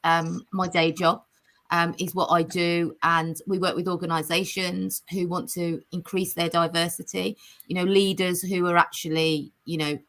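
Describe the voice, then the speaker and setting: A woman guest with a croaky morning voice, explaining her work in a measured way with many fillers. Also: fairly low pitch, fairly bright tone. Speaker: guest, founder. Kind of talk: casual chat.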